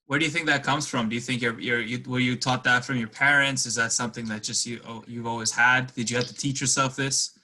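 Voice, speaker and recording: A man's voice: medium pitch, fairly even intonation, quick pace, hardly any pauses, sharp tone. A man co-hosting a podcast who asks the guest questions in an inquisitive, casual way. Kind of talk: casual chat.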